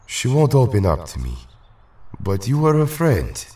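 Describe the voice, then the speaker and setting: A man acting a protective, patient but exasperated young Russian superhero in an audio drama, speaking haltingly and expressively. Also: mid-range voice, huge pitch swings, darkish tone, distant mic. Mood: gently reassuring.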